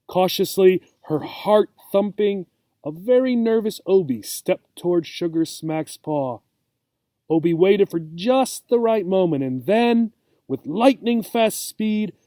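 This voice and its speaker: A man reading a children's storybook aloud as a teacher, expressive and checking in with listeners. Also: sits high, slow, no echo. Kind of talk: storybook read-aloud for English learners.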